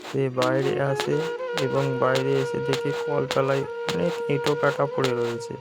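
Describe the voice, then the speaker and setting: A man's voice: mid-range voice, level tone, normal speed, gravelly. A man narrating a scripted children's cartoon story. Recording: loud music behind.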